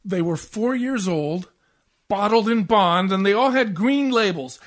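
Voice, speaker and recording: A man's voice: high-pitched, lively intonation, unhurried. A man playing a grouchy, self-pitying, bourbon-loving husband in a 1940s-style radio comedy, whiny and melodramatic. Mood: agitated. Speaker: community theatre actor.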